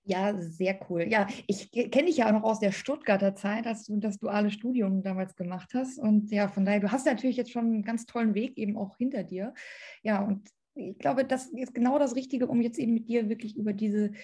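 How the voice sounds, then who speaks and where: A woman's voice: medium pitch, brisk, warm tone. A woman in her mid-thirties hosting a podcast and interviewing in a conversational way. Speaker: podcast host.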